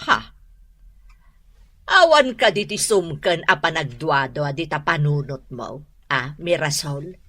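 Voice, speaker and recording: Bright, excitable, meddling aunt. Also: female voice, sits low, slow, pauses often, full character voice. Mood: probing. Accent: Ilocano Filipino. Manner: exclamatory, emphatic. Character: nosy, excitable meddling aunt, a computer-savvy teacher. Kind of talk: Ilocano radio drama acting.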